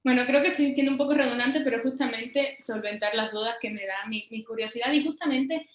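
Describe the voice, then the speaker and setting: Higher-voiced Cuban-born woman in an echoey room. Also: fairly quick. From Cuba. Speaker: scientist guest being interviewed. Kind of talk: informal explanatory interview answers. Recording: roomy, echoing sound.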